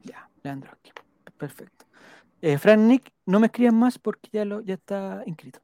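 A live stream host with a Chilean accent talks casually in a dry, close sound. The delivery is playful and rambling, with viewer comments read aloud and names repeated slowly back. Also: medium pitch, expressive, dark tone, dry recording. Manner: rambling, repeats names while jotting them down; playful, joking.

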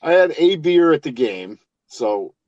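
Man, from Massachusetts, podcast co-host, rambling, profane, lively pitch, dry close sound. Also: measured pace, no echo, dull audio. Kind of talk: casual chat.

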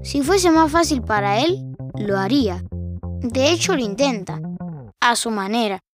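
A woman's voice: on the high side, animated, measured pace, music in the background. A woman with a Rioplatense Argentine accent reading scripted narration for an audio fiction.

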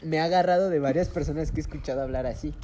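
A man's voice: on the high side, rapid-fire, dark tone, slight background noise. A young man with a Mexico City accent, swearing freely and joking in casual podcast banter. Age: young adult. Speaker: podcast co-host.

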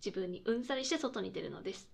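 A woman playing a cheerful radio host in a scripted comedy, chirpy and polite with quick retorts. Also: medium pitch, lively intonation, gravelly, slightly rough, exaggerated voice. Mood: bright, presenting. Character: cheerful female radio host, playful straight man to sidekick. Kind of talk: scripted comedic late-night radio chat.

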